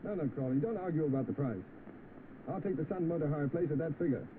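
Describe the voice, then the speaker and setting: An actor with a British accent playing a plain-spoken, defiant young chauffeur in an old film. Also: male voice, on the high side, fast talker, lightly acted. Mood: brisk. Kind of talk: vintage film acting. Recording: tinny, noisy old film soundtrack.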